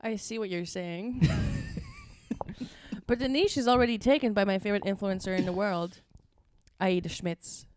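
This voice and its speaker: A woman's voice: medium pitch, a little mellow, smooth. A woman with a Latvian accent, a non-native English speaker, co-hosting a comedy podcast in rambling, casual chat. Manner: rambling storytelling, quick laughs.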